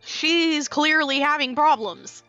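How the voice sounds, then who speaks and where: A woman streams a game with a bright, fairly high voice. She comments casually and playfully, talking back to the characters while music plays softly behind her. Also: slow, lo-fi sound. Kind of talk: casual live gameplay commentary.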